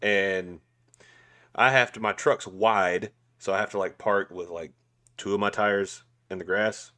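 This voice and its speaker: A man's voice: on the low side, steady tone, measured pace, sharp tone. A man in his twenties, co-hosting a podcast in casual chat, laid-back and swearing a lot. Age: 20s. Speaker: podcast co-host.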